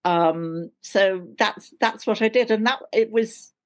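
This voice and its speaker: A woman's voice: mid-range voice, slow. An older woman with a London RP accent, chatting expressively in a casual interview over a video call from home. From London, England. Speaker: actor, interview guest. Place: small indoor room at home.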